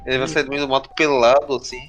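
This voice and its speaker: A man's voice: medium pitch, little pitch movement, racing, music in the background. A Brazilian man chatting casually with friends in a joking, informal way as a podcast co-host. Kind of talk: casual chat among friends.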